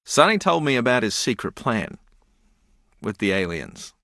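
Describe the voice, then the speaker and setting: An actor playing a slow-witted, good-natured ex-rugby player in a video game, earnest, literal and befuddled. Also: male voice, mid-range voice, big pitch swings, gravelly, bright, forceful tone, no echo, studio quality, heavily acted.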